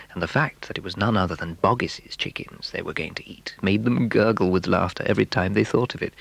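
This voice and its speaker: A man narrating a children's story in a dramatic, expressive, suspenseful way, with a husky, breathy voice. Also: low-pitched, no echo. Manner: expressive, suspenseful, building excitement.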